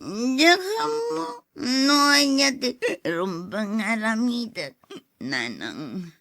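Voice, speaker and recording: Actress as high-voiced, anguished young woman. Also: huge pitch swings, fairly bright tone, exaggerated voice. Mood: grief-stricken. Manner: tearful, slow, outbursts. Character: grieving, defiant, drunk young woman mourning her boyfriend. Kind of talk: emotional audio drama acting.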